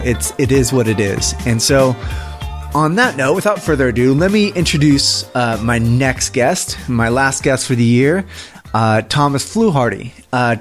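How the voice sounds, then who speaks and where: A man hosting a podcast, speaking conversationally as he introduces the show and his guest. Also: mid-range voice. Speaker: podcast host.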